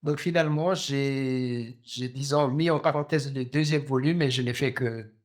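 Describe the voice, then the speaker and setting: A man in his fifties from Djibouti, a novelist answering interview questions slowly, with many pauses, fillers and restarts. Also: mid-range voice, fairly even intonation. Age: 50s. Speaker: novelist guest.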